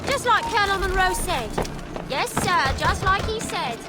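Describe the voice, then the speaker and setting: Townswoman, flustered, anxious, obedient, very high pitch, game drama, heavy music behind. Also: animated, normal speed. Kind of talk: video game dramatic acting.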